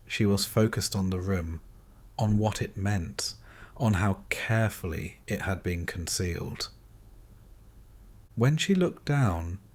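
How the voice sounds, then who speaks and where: A man narrates a scripted bedtime story in a calm, soothing, measured way, in deliberate short phrases with pauses. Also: fairly low pitch.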